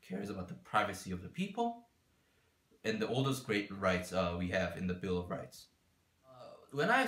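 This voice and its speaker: A man's voice: on the low side, a bit bright. A young man born in the United States, raised partly abroad, talking earnestly about his life and beliefs. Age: young adult. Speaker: interviewee. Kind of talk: personal account. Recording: echoey room.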